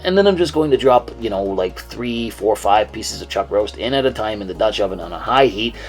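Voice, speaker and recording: A young man with a hoarse, stuffed-up voice, chatting his way through a recipe on his cooking channel. He keeps it laid-back and self-deprecating, tossing in the odd curse word, at an everyday mid pitch over faint background music. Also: a little mellow. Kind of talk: casual recipe walkthrough.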